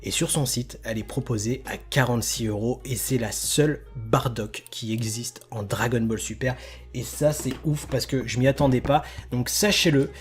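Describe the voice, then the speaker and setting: A man's voice: medium pitch, moderate pace, husky, faint music behind. A man hosting an unboxing video, presenting casually and enthusiastically with slangy, joking asides. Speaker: host of a figurine unboxing video.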